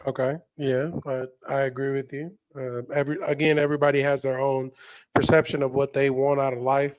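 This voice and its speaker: An American man co-hosting a call-in stream, relaxed and affable, calling guests brother and sis. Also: mid-range voice, fairly quick, clear, resonant tone, no room sound, low-quality recording. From United States. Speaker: livestream co-host. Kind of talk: casual call-in moderation.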